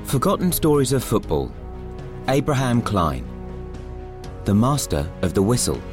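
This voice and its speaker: A man reading a scripted story as narrator, in a measured storytelling manner. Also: medium pitch, big pitch swings, over music.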